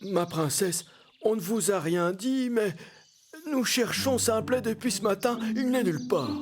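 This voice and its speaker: A man's voice: high voice, lively intonation, measured pace. A man voicing a worried, respectful dwarf leader in a children's cartoon fairy tale.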